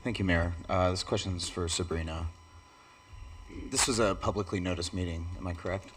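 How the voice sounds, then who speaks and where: A man's voice: low voice, fairly even intonation, fairly quick, fairly bright tone, slight background noise. A man city councilor questioning staff at a council meeting, speaking formally. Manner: formal, apologetic. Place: council chamber.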